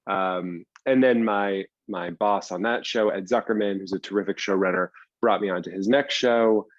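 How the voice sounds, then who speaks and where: A man from the US East Coast talks casually as an interview guest. His voice is on the low side, and the recording is dry and a bit lo-fi. He explains things in an unassuming, self-deprecating way. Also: steady tone, normal speed, no room sound. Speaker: guest, TV writer and teacher.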